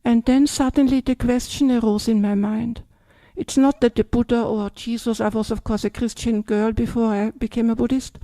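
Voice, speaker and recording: A woman's voice: on the high side, fairly even intonation, normal speed, few pauses, warm tone, no room sound, poor audio. An older woman, a Buddhist nun from Austria with an Austrian German accent, giving a chatty dharma talk that keeps checking in with "yeah?" and "yes?".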